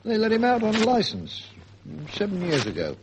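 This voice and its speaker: A man playing an older, avuncular British police sergeant in a vintage radio drama, with a gruff, colloquial storytelling manner. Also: sits high, wildly swooping pitch, normal speed. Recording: noisy old radio recording.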